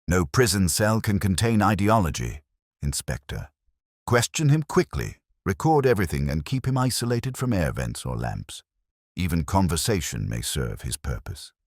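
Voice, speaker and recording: A man voicing a grave, brooding, cerebral detective in a storybook reading, speaking in measured, solemn aphorisms. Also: low voice, big pitch swings, no room sound, studio quality, pitched above the performer's own voice, heavily acted. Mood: cold, commanding.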